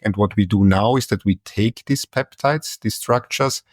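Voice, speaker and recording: A man's voice: fairly low pitch, moderate pace, no room sound, clean studio sound. A middle-aged man from Austria with an Austrian German accent, a neurologist answering interview questions in a hesitant way with frequent restarts. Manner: hesitant, many restarts and fillers. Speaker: neurologist and guest.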